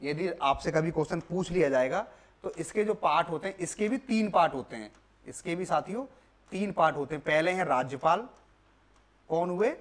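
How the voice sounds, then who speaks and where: A man's voice: a little high, moderate pace. A young man from India teaching a lesson, repeating key points and posing questions that he answers himself. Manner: repetitive, emphatic, asks and answers own questions. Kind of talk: classroom lecture on a video lesson. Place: indoor classroom at a board.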